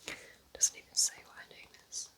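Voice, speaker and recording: A woman whispering gently and informally in an intimate, unhurried ASMR style, as a host giving personal recommendations.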